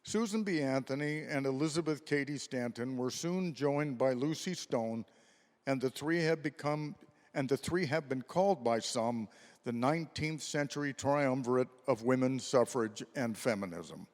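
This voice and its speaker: A man's voice: medium pitch, normal speed, a little mellow. A man delivering scripted narration for a readers theater stage presentation. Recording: echoey hall with long reverb.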